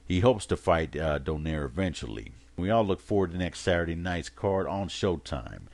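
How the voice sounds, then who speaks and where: A man hosting a sports podcast, delivering a prepared solo recap in a measured, announcer-like way. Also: low voice, very animated, gravelly, darkish tone, no echo. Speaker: boxing podcast host. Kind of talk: scripted podcast monologue recap.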